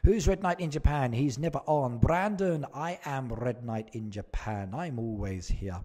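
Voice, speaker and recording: A man's voice: medium pitch, expressive, unhurried, darkish tone. Male game streamer: chatty, casual commentary, moves between chat and screen, repeats himself. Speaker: live stream host, gamer.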